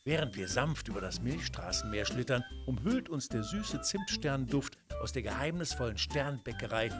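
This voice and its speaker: Animated male children's story narrator. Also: medium pitch. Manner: expressive, theatrical.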